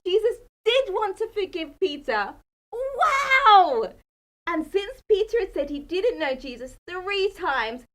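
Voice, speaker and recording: A woman telling a children's bedtime Bible story in a dramatic, emphatic manner. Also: extremely high pitch. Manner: dramatic, emphatic, asks the listeners questions.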